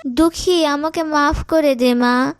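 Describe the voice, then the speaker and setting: A woman's voice: high voice, slow. Woman as guilty stepmother, frightened, pleading, over music. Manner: panicky, pleading cries. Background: background music.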